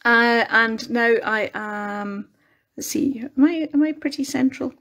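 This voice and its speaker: A Scottish woman hosting a casual crafting live stream on her phone, rambling and self-deprecating, her voice a little croaky from singing the night before. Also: fairly high pitch, unhurried, fairly bright tone. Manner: chatty, rambling, self-deprecating, many restarts.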